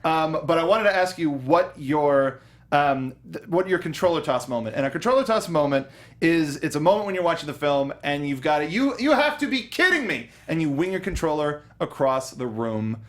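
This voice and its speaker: A young man co-hosting a casual movie review chat, animated and breaking into goofy voices when he acts out reactions. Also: a little high, moderate pace. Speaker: podcast co-host.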